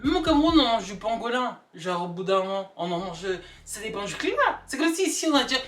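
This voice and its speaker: A woman chatting casually and comically to camera, emphatic and animated, repeating words for effect. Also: medium pitch, a little fast. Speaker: vlogger. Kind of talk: casual comedic opinion chat to camera. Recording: echoey room, distant mic, background music.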